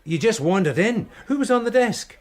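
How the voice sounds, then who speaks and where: Animated, weary police sergeant. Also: male voice, high-pitched, normal speed. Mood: brisk, friendly. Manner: wry, animated, hesitant asides. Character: weary, genial male police detective sergeant. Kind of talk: radio drama acting.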